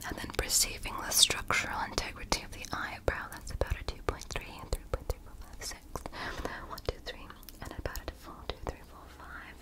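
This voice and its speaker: A woman voicing a calm, clinical female alien doctor in a whispered ASMR roleplay. She speaks softly and reassuringly, with precise, measured instructions. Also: close to an everyday voice, rough recording. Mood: soothing.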